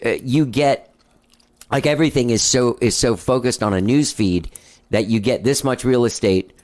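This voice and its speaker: A man with a high voice, hosting a loose, rambling interview full of "like" and swearing. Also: normal speed, a little mellow. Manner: rambling, swears freely, many "like" fillers. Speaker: podcast host. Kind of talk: casual interview chat.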